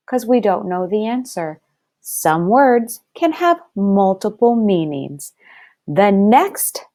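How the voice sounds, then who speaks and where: A woman speaks as a first-grade teacher leading a read-aloud lesson. Her pitch moves in lively swings while her pace stays slow. She places each word deliberately and talks straight to the children with encouragement. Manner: deliberate enunciation, addressing children directly.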